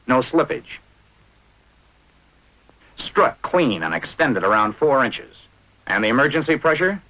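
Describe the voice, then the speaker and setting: An American man narrating a 1940s military training film in a brisk, instructive manner with clipped short phrases. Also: medium pitch. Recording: dull, band-limited vintage film soundtrack.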